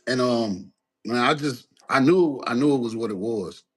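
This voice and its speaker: A rapper, talking in a casual interview, halting with many fillers and restarts. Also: male voice, husky. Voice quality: deep voice. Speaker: guest, rapper.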